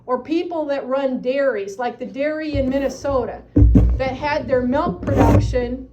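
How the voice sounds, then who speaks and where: Earnest high-voiced woman giving public comment. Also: a little slow, lo-fi sound, loud music behind the voice. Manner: earnest, persuasive advocacy. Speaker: citizen addressing county supervisors. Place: county meeting room.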